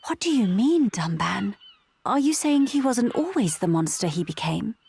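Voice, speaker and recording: A woman's voice: medium pitch, sing-song, normal speed, creaky, darkish tone, breathy edge, exaggerated voice. A woman voicing a dignified, solemn young noblewoman in a video game, formal and composed. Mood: questioning. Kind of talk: dramatic video game voice acting.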